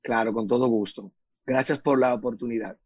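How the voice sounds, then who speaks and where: Man, radio guest, husky, earnest, slows to stress points, dull dry recording. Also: medium pitch, fairly even intonation, darkish tone, no room sound. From Dominican Republic. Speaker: guest speaker. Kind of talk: radio talk interview.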